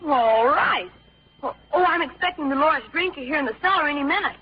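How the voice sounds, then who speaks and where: A cocky young American boy, very high in pitch. He talks brashly, like a scrappy schemer in a radio play. The recording is dull and lo-fi. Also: expressive, a little fast. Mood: boastful. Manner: brash, colloquial. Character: cocky, boastful young boy inventor, scrappy street kid. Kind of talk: old-time radio drama acting.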